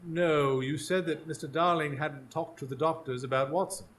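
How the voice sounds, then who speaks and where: A man's voice: a little high, steady tone, normal speed, slight background noise, close to an everyday voice. A man playing a sceptical, exasperated Victorian detective in a radio drama, speaking formally and dryly with probing questions. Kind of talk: live radio drama acting.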